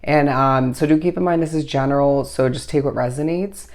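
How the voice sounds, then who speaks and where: A tarot reader talking straight to camera, hoarse from a recently lost voice. The delivery meanders through intuitive hunches and keeps turning back to the listener with reassurance. The pitch sits right in the middle. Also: fairly quick. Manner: reassuring, addresses the listener directly. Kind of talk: solo tarot reading to camera.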